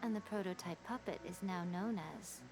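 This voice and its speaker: A woman voicing a sly, poised, playfully vain fox spirit shrine maiden in a video game, measured and formal with a teasing manner. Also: medium pitch, fairly even intonation, close to an everyday voice, rough recording. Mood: composed, explanatory. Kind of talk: video game character dialogue. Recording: game music behind the voice. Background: game music.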